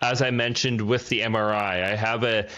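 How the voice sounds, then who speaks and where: A man's voice: on the low side, flat delivery, moderate pace, sharp tone. A man in his thirties, an athlete speaking in casual podcast chat, earnest and reflective in long flowing sentences. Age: 30s. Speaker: para cycling athlete. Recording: dull lo-fi sound.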